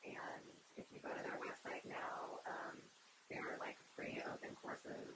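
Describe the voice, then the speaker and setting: A woman's voice: a little slow, in a whisper. A young woman giving a conversational keynote talk at a podium on an auditorium stage, speaking into the podium microphone with frequent fillers and restarts.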